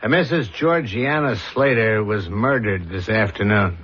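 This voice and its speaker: An actor playing a stern homicide inspector, businesslike and probing, in a 1970s radio drama. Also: male voice, fairly low pitch, a little slow, fairly bright tone, husky. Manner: businesslike, interrogating. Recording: dull, lo-fi old radio recording.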